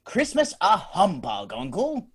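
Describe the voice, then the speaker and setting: A man playing a cheerful, warm-hearted young nephew who speaks earnestly and upbeat. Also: sits high, huge pitch swings, slow pace, breathy edge, full character voice.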